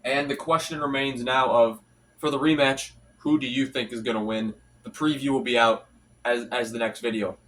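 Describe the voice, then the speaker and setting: A man's voice: mid-range voice, fairly even intonation, normal speed, fairly bright tone, distant mic, slight background noise, low-quality recording. A young man with a congested, stuffy voice hosting a casual solo hockey recap, rattling off stats with frequent restarts and fillers. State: congested from allergies. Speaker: hockey commentary channel host.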